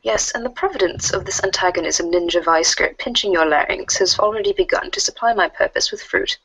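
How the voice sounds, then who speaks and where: A woman playing a formal, stiffly scheming female alien troll in a comic readers theater, speaking in wordy, deliberate sentences. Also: on the low side, a bit bright, breathy edge, dry recording, low-quality recording. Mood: smug, formal. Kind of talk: readers theater of web comic chat logs.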